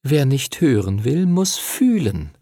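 A man's voice: high-pitched, big pitch swings, moderate pace, darkish tone, husky, no room sound, studio quality, pitched above the performer's own voice, heavily acted. A man voicing a cheeky schoolgirl in a storybook, teasing with an air of mystery.

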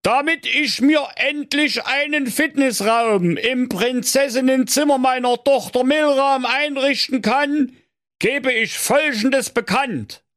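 A man voicing a pompous, blustering old fairy-tale king who makes loud proclamations. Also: very high voice, slow, dry recording, studio quality, voice pushed up, full character voice. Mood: proclaiming. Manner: blustering proclamations, crude outbursts.

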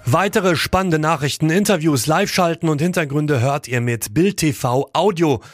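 A man reading a station promo in a smooth announcer delivery. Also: fairly high pitch, moderate pace, soft background music.

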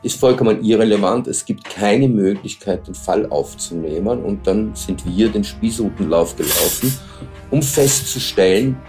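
A man's voice: on the low side, normal speed, a little mellow, faint music behind. Austrian man recounting his frustration. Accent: Austrian German. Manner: agitated storytelling, reenacts exchanges. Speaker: interviewee, friend of victim.

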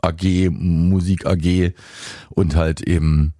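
A man chatting casually as a presenter, telling stories with halting enthusiasm. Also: low voice, flat delivery, dark tone, dry recording, studio quality. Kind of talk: casual chat.

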